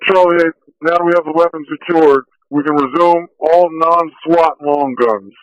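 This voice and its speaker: A man's voice: a little high, steady tone, unhurried, smooth, no room sound. A man giving announcements to units over police radio. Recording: dull lo-fi police scanner radio.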